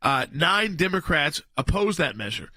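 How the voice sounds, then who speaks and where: A man hosts a call-in talk radio show, bantering loosely with his co-host. His voice is bright and a bit high. The studio sound is dry and close. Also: sing-song, normal speed, no echo. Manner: conversational, banters with co-host, reads out lists. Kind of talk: casual political talk radio.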